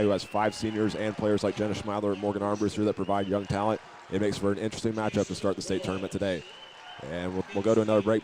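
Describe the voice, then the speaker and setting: Fast, low-voiced American sports announcer. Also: male voice, noisy background. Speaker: play-by-play sports broadcaster. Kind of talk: radio pregame commentary.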